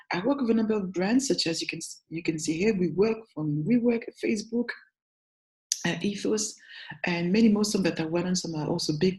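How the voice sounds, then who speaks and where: A woman's voice: fairly low pitch, a little mellow, poor audio. Upbeat French-accented woman. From France. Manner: chatty and upbeat, with self-corrections and "okay?" check-ins. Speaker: brand strategist and agency founder. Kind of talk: talking-head business advice video.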